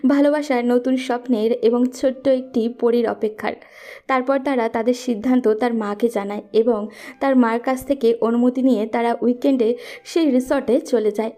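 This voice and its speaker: Female storyteller for scripted romance narration, higher-pitched with a dark, mellow tone.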